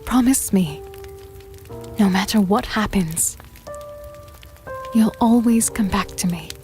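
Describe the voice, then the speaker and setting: A woman playing an anxious, devoted young companion in a dark fantasy drama, speaking earnestly and intimately, with a pleading manner. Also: mid-range voice, slow, soft background music, lightly acted.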